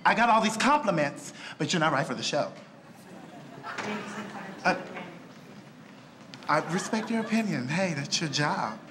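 A man's voice: a little high, animated, unhurried, long pauses, noisy background. A man, a contestant in a hotel hallway, talking defiantly to the camera. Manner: defiant, recounting. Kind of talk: interview to camera.

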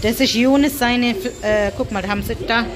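A woman's voice: a little high, a little fast. A middle-aged woman from Germany vlogging with a phone held at arm's length, chatting casually and dropping in Arabic words as she walks around. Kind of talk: casual vlog chat. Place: busy city street and restaurant, outdoors and in. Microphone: handheld phone at arm's length. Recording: loud street crowd and noise.

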